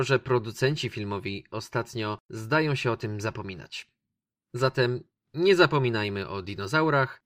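Man, radio host, scripted film commentary, medium pitch. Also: fairly bright tone. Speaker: radio show host presenting films.

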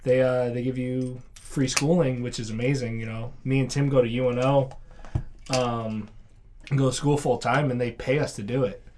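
A man's voice: medium pitch, fairly even intonation, moderate pace, noisy background. A man in his twenties, a former marine guest, talking earnestly over drinks, laughing easily and trailing off. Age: 20s. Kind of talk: casual chat over drinks.

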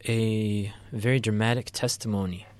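A man with a low-pitched voice co-hosting a conversational show. He talks things through, stopping and restarting often with plenty of ums. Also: steady tone, normal speed, a little mellow. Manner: thoughtful, many fillers and restarts. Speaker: podcast co-host. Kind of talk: casual political discussion.

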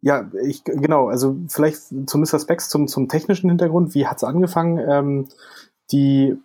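A man's voice: mid-range voice, dark tone. A man co-hosts a podcast in a loose, chatty way. He thinks aloud, reaching back for dates and names as he tells the story. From Berlin, Germany. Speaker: podcast co-host. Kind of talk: casual podcast conversation.

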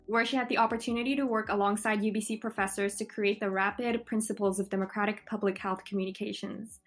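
A young woman co-hosting a student podcast, asking questions in a friendly manner with frequent um fillers. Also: mid-range voice.

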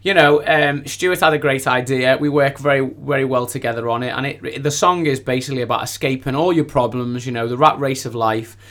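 A man's voice: medium pitch, fairly bright tone. A man speaking as a singer-songwriter guest in a casual interview, effusive and rambling, often repeating his phrases.

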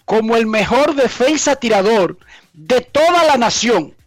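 Male sports anchor, high voice, punchy read, dry studio, faint music bed. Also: expressive, no echo. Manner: emphatic, quick presenting. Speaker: sports news presenter. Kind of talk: news presenting.

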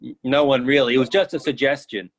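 A man's voice: medium pitch, steady tone, quick pace, lightly acted. A man reading the part of an oddly fixated, stubborn friend who argues silly logic earnestly in a comic play reading.

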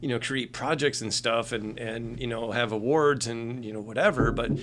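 Guy cohost just chatting and explaining stuff, normal pitch, humming noise behind him. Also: fairly even intonation, moderate pace. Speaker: podcast co-host. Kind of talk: casual chat. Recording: clear background noise with hum.

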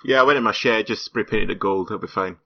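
A man's voice: fairly low pitch, fast talker, vocal fry. A man hosting a podcast in a casual, joking way, cursing now and then. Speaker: podcast host. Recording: slightly muffled podcast audio.

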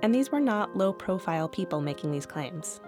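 A woman hosting a podcast and reading scripted narration in a clear, even way. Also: on the low side, normal speed, over music. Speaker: podcast host.

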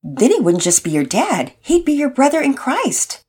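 Woman as a gentle devout mother. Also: high-pitched, wildly swooping pitch, moderate pace, husky, voice pushed up, exaggerated voice. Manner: gentle, hesitant.

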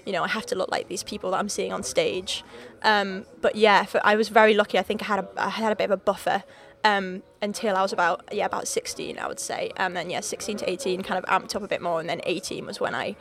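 A woman's voice: mid-range voice, fairly even intonation, quick pace, hardly any pauses, fairly bright tone. A young woman from North Wales with a Liverpool-leaning accent, chatting as a podcast guest with lots of fillers, restarts and laughs. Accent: Liverpool-leaning North Welsh. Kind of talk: casual interview chat.

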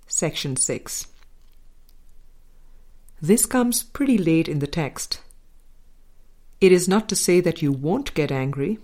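A woman reading a scripted spiritual dialogue aloud in a measured, deliberate way, pausing between short phrases. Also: deep voice, darkish tone. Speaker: audiobook reader.

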